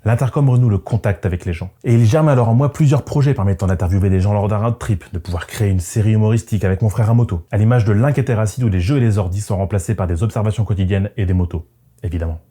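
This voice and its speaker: Fast-talking man with a dark, mellow voice. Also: on the low side, breathy edge. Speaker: motorcycle vlogger and narrator. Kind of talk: scripted first-person narration. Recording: music behind the voice. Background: background music.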